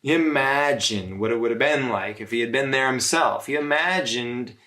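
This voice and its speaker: A man reading a story aloud to children as a religious brother, in measured phrases with frequent pauses. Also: mid-range voice, sharp tone.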